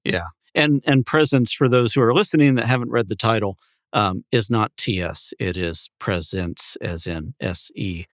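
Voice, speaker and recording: A man's voice: on the low side, normal speed, dry recording, studio quality. A man hosting a podcast in casual conversation, explaining things playfully. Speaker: podcast host. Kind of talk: casual chat.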